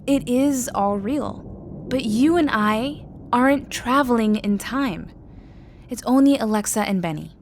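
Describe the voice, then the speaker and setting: A woman's voice: fairly high pitch, animated, measured pace, slight background noise. A woman playing a practical shopkeeper who guards magical secrets, explaining matter-of-factly in an audio drama.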